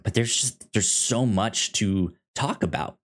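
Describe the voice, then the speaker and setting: A man co-hosting a casual podcast about a TV show, with a halting, stammering delivery. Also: fairly low pitch. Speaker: podcast co-host.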